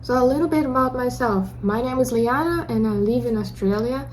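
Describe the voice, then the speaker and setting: A woman's voice: fairly high pitch, brisk, a little mellow, slight background noise, rough recording. A young woman with a Russian accent, Russian her first language, talking earnestly and a bit awkwardly straight to her camera in a casual video diary. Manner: self-conscious, earnest, a little awkward. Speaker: vlogger. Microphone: camera mic.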